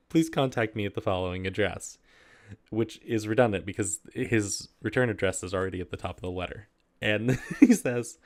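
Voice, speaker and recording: A man casually hosting a video and reading humorous letters aloud, easily amused and prone to giggling. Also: on the low side. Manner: giggly, often breaking into laughter while reading.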